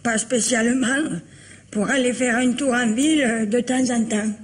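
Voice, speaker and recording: A woman's voice: a little high, fairly even intonation, moderate pace, natural voice. A woman acting an anxious, evasive aunt in her seventies in a French radio mystery, hesitant and plaintive. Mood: guarded, hesitant. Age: older. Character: anxious, evasive 73-year-old aunt, a widowly homebody.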